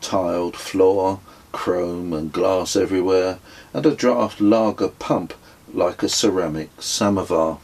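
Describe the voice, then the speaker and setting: A man reading his own travel memoir as an audiobook, with a husky voice and measured, deliberate storytelling. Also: low-pitched, steady tone, a little mellow. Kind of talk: scripted audiobook narration.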